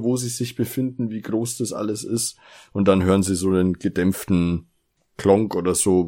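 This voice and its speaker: Male podcast co-host, deep and husky, casual, enthusiastic storyteller. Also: mellow. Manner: enthusiastic, quotes characters' lines while retelling. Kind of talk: casual discussion retelling an audio drama.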